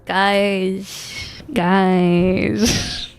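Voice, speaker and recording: A woman's voice: mid-range voice, flat delivery, drawn-out words, smooth. A woman game streamer, moving between reading game narration aloud and casual live chat.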